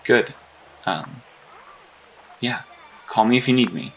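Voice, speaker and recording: An actor playing an earnest, awkward, principled vigilante hero in an audio drama, hesitant and sincere. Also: male voice, mid-range voice, lively intonation, slow pace, vocal fry, fairly bright tone, a little hiss or hum, heavily acted, low-quality recording.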